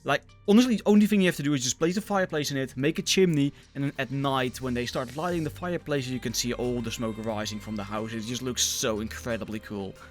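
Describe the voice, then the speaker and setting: A young man with a Dutch accent and a mid-pitched voice hosts a gaming video. He chats casually and with enthusiasm, happy to poke fun at himself. Faint music plays behind him. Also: fast talker, few pauses. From Netherlands.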